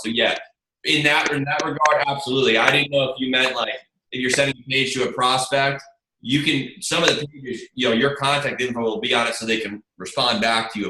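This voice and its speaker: A man hosting an online team meeting as a marketing presenter, with a chatty, rambling, informal sales delivery. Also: medium pitch. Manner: chatty, rambling, informal, addresses listeners as you guys. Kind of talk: casual sales pitch on a group video call. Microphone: video call. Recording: roomy echo, faint noise, clicks.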